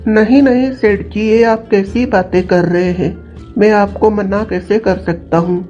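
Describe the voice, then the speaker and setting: A woman's voice: medium pitch, steady tone, a little slow, mellow, highs cut off, faint music behind, natural voice. A woman voicing a humble, hardworking poor village farmer in a cartoon story, deferential and polite. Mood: humble, eager.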